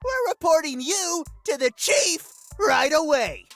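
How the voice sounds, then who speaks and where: Woman playing a high-voiced, suspicious little cop. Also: very animated, unhurried, faint music behind, full character voice. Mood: stern, threatening. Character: short, stern, suspicious police officer with cocky bite. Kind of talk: voice acting in a dating simulator game.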